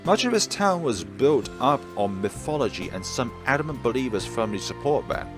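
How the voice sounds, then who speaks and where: A man's voice: mid-range voice, very animated, moderate pace, over music, close to an everyday voice. A man reading the lines of a young male gondolier guiding a tour, in a courteous manner.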